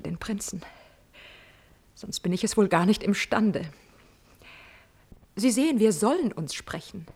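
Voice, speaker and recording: An actress playing a proud, spurned countess in a classical radio drama, wounded, bitter and insistent, theatrically moving between exclamations and whispers. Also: female voice, on the low side, a little mellow, slightly rough.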